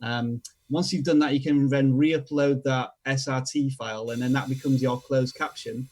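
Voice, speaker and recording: Yorkshire male guest, casual and halting, mid-range voice. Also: medium pitch. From England. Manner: relaxed, hesitant with many fillers, self-deprecating. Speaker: guest expert. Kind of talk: casual online show chat.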